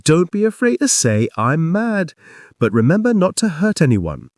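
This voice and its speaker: A patient, encouraging mother, as a man puts her on, speaks steadily and warmly praises. The storybook reading is dry, close and lively in pitch. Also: normal speed, no echo.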